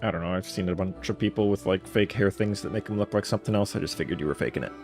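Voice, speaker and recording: A man's voice: sits low, rapid-fire, darkish tone, faint music behind. A man giving casual, wryly joking commentary during a live game playthrough. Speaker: game streamer.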